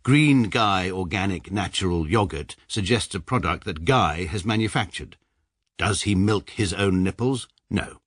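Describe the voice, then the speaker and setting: A very deep, husky-edged voice belongs to a detached, self-important barrister in middle age. He speaks in measured, sarcastic phrases, close and dry on the mic, as in a radio comedy. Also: lively intonation, dry recording. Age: middle-aged. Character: short, pompous, detached middle-aged male barrister. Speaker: barrister narrating his own story. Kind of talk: radio comedy drama narration.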